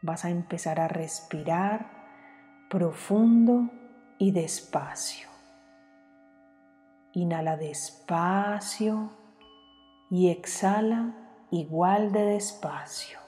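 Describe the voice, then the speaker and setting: Slow, mellow woman guiding meditation. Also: on the low side. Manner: calm, soothing, with long pauses between short phrases. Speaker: meditation guide. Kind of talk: guided meditation. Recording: long reverb, music behind. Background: music.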